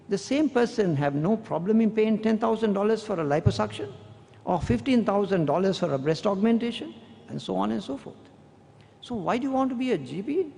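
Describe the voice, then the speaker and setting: Sri Lankan English-accented male surgeon, slightly high voice, formal and deliberate, echoing through a lecture hall. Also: gravelly, warm tone. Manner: measured, deliberate delivery with slow emphatic stretches. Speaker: surgeon giving an academic address. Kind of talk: formal prepared speech, reading a long quotation. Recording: long hall reverb.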